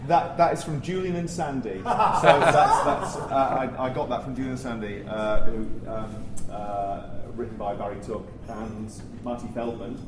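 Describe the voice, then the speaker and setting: A man on a live panel telling anecdotes casually, often halting and interrupting himself. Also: medium pitch, steady tone. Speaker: panellist, pantomime performer. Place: theatre, live panel discussion. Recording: live recording, clear background noise.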